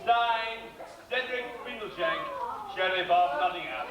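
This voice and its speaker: A man's voice: high voice, unhurried, lo-fi sound, crowd noise. A man playing a proclaiming town crier. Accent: Irish. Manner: declaiming, proclaiming loudly. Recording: very echoey hall from far off.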